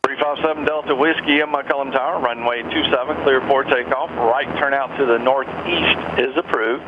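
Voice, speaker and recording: A man's voice: mid-range voice, fairly even intonation, moderate pace, dull audio. Male tower controller on a noisy aircraft radio. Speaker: air traffic controller in a tower. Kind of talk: clipped radio phraseology with clearances. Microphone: radio heard through aircraft intercom. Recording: radio transmission with clear engine noise.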